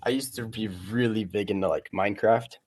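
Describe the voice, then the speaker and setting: A man's voice: lowish voice, steady tone, a little fast, darkish tone, dry recording. A twenty-year-old man chatting casually as a podcast guest, rambling hesitantly and saying "like" a lot. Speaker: guest cornhole player. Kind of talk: casual podcast interview chat.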